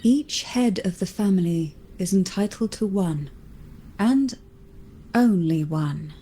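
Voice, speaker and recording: A woman playing a poised, teasing noblewoman in an anime-style video game, speaking in a formal, measured, coolly teasing way. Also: medium pitch, animated, mellow, a little hiss or hum, poor audio. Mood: composed, gracious. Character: poised, teasing noblewoman heading a powerful family.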